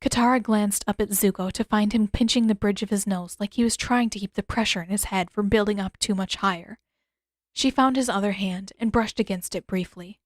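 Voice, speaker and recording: A woman's voice: medium pitch, brisk, no room sound. Woman narrating fiction in a dry recording. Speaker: narrator and author.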